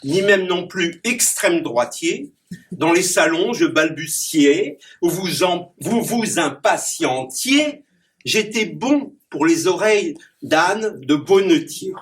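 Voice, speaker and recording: High-voiced man reciting comic verse. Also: lively intonation, takes it slowly, fairly bright tone. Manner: playful wordplay, chuckles at his own puns. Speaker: clown and poet. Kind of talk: reciting comic rhyming poems to a small gathering. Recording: distant mic with background noise.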